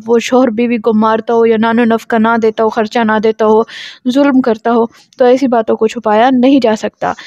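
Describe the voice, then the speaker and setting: A woman law lecturer, reading legal passages slowly and then explaining them to students. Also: a little high, darkish tone, no room sound, muffled recording. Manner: reads English passages slowly, then explains in Urdu-style Hindi.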